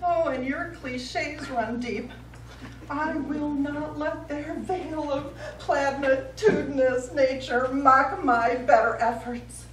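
A woman's voice: sits high, steady tone, slow. A middle-aged actress playing a pompous, easily wounded woman painter on stage, in florid, grandiloquent style. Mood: offended, wounded. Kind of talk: live stage comedy acting. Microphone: distant audience camera. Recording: echoey small theatre, distant mic, faint noise.

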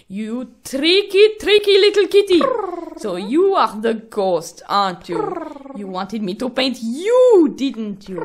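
A fussy monkey painter in a children's puppet show. The voice sits high and swings widely in theatrical, exaggerated phrases. There is clear noise behind it. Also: female voice, full character voice. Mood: fond, teasing. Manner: exaggerated, theatrical, talking fondly to a pet.

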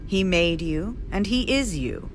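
An actress playing a defiant, vengeful, ambitious woman in a sci-fi audio drama, deliberate and insistent. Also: fairly low pitch, animated, a little hiss or hum.

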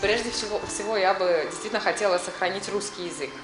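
A young woman from Russia answering an interview in an office, talking quickly with frequent hesitations. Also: medium pitch, echoey room, over music. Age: young adult. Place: office with windows and shelves, indoors.

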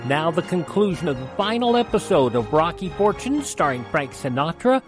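A man's voice: on the high side, very animated, normal speed, over music. A man with an American accent hosting a radio show, reading announcements and ads in a conversational way. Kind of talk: radio host announcements and live ad reads.

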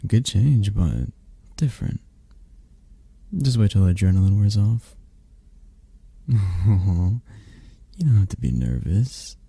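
Very deep, mellow, tender dragon boyfriend played by a man. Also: very animated, vocal fry, husky, dry recording, full character voice. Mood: playful, teasing. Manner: soft, teasing, reassuring, hesitant pauses. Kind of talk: intimate ASMR roleplay. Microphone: close, dry microphone.

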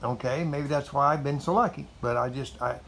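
A man's voice: medium pitch, a little fast, fairly bright tone. A man in his seventies, a motorcycle vlogger, talking in a folksy, meandering way with many fillers and repeats, recorded on a camera set up in a metal carport. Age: 70s. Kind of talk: rambling casual monologue to camera. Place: metal carport garage, indoors.

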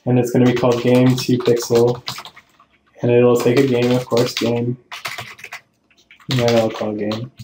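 A man's voice: mid-range voice, warm tone, echoey room, slight background noise, poor audio. A man teaching programming over a screen recording, thinking aloud and correcting himself as he explains each step. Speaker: programming tutorial host. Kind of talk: screen-recorded coding tutorial. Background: keyboard typing and clicks.

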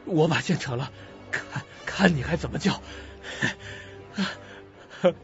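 A man's voice: fairly high pitch, slow pace. An actor playing a terrified, sleepless man slipping into spiteful madness in a suspense radio drama, agitated and muttering to himself. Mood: panicked, frantic. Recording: dull lo-fi recording with loud-mixed music.

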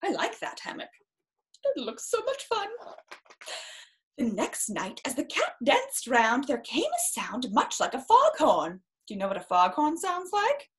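A woman in her thirties reads a picture book for children in a high voice. Her pitch swings dramatically from line to line. She stretches words for effect and drops in chatty little exclamations as she goes. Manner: theatrical, expressive, drawn-out emphasis with exclamations. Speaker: storyteller reading a picture book. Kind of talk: children's story time read-aloud with chatty asides. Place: small room indoors, seated in an armchair.

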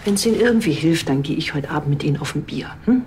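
An actress playing a brisk, matter-of-fact police superior in a television crime comedy. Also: female voice, deep voice, expressive, background noise. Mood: conciliatory. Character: brisk female police superior, strict but fair.

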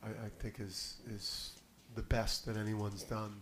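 A man's voice: on the low side, level tone, a little slow, a bit bright, a little hiss or hum. A middle-aged man, a basketball head coach, answering press questions with confident, emphatic talk and restarts, into a table mic in a press room. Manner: confident, emphatic, repeats words when starting. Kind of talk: postgame press conference answers. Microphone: table mic on a stand.

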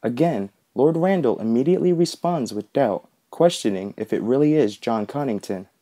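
A man's voice: medium pitch, animated, moderate pace, mellow. A man narrating a scripted book analysis as the host of a video essay.

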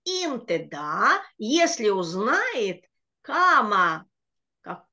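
Bright, fairly high-pitched middle-aged woman, patient teacher sounding words out slowly, speaking over a dull, lo-fi video call line. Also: very animated. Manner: patient, slow and deliberate when sounding out words. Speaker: Hebrew reading teacher. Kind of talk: online language lesson. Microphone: computer mic on a video call.

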